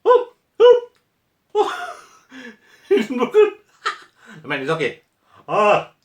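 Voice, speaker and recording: A man's voice: extremely high pitch, huge pitch swings, drawn-out words. A young man from Malaysia, talking to viewers in a casual taste-test vlog. He sounds playful and exclamatory, and he laughs often. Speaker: food vlogger. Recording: echoey room.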